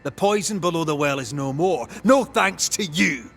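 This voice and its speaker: An actor playing a weary, blunt ghost hunter in a video game, stern and accusing, with measured, emphatic delivery. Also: male voice, fairly high pitch, expressive, fairly bright tone, faint music behind. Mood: exasperated. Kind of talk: dramatic video game acting.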